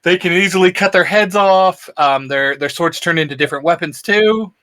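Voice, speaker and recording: A man co-hosting a casual podcast chat, excitable and rambling, laughing often. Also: sits high, normal speed. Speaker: co-host.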